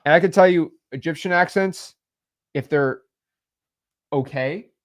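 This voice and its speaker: A man's voice: a little high, a little slow. A young man co-hosting a podcast, giving casual business advice in a rambling way with many fillers. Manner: rambling, many fillers, animated swings in loudness. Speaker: podcast co-host.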